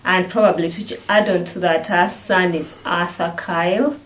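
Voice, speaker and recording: Lower-voiced Ugandan woman chatting. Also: fairly even intonation, moderate pace, a bit bright, rough recording. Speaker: vlog host. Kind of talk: casual couple chat to camera.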